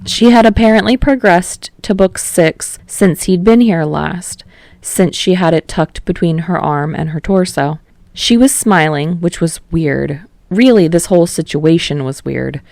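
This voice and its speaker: A woman narrates fiction in a low-pitched voice with a creaky, gravelly edge. The recording is close and dry, like an audiobook read. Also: animated, normal speed, a little mellow, no echo. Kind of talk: scripted story narration.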